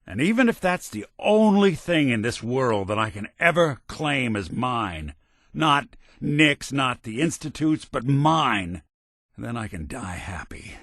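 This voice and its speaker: A man's voice: medium pitch, very animated, a little slow, fairly bright tone, no room sound, studio quality, heavily acted. An actor voicing a world-weary male synth detective in a video game, a robot carrying an old cop's memories, talking in a hard-boiled drawl. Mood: heartfelt. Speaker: companion character.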